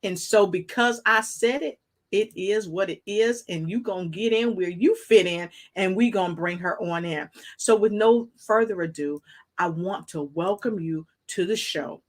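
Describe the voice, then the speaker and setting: A woman hosting an exuberant live online show, full of rhyming catchphrases, repetition and hype, with a husky voice. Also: mid-range voice, normal speed. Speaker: talk show host.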